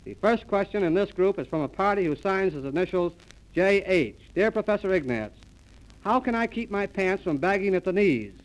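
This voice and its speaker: Male radio comedy host, high-pitched, mock-formal, on an old 1930s transcription disc. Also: expressive, normal speed, rough recording. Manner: mock-formal announcer delivery.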